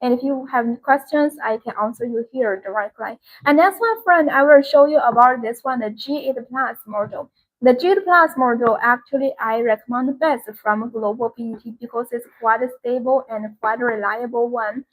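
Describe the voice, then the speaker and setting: A woman's voice: fairly high pitch, normal speed, rough recording. A woman giving a live-stream sales demonstration in an instructional, repetitive way. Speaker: live-stream product seller.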